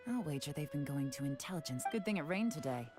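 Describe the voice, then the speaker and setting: A woman playing a shrewd, composed investigator in a fantasy video game, speaking in a measured, analytical way. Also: low voice, animated, over music. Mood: wry suspicion.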